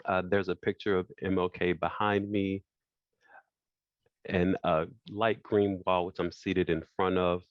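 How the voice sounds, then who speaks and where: A man born in France, with French as his first language, moderating an online panel over a video call and talking in a halting way that repeats phrases. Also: low-pitched, flat delivery, no echo. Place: indoor room by a wall. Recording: dull lo-fi video call audio.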